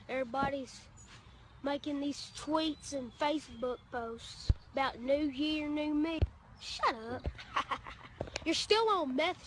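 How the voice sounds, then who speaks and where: A woman delivering a sassy, mocking comedic rant in a social media style. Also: sits high. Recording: noisy social media clip playback.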